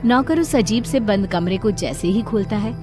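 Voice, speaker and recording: A woman's voice: medium pitch, animated, moderate pace. Woman narrating a story over prominent music.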